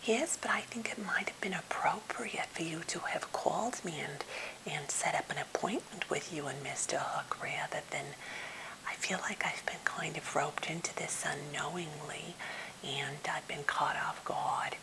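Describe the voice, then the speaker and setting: A woman playing an anxious, well-meaning, slightly odd therapist held captive, in a breathy whisper with a halting, fussily polite manner, for an ASMR role play. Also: low voice. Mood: quietly reproachful. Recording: hissy, noisy home recording.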